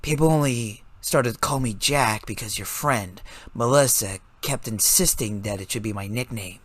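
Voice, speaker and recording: A performer voicing a calm, reserved, pale blue male character with a low-key manner in a story reading. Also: moderate pace, no echo, natural voice. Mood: correcting.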